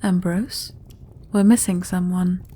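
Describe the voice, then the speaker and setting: A woman playing a gracious, shrewd queen in a fantasy audio roleplay, regal, measured and formal. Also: fairly low pitch, flat delivery, dark tone, breathy edge. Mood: regal, composed.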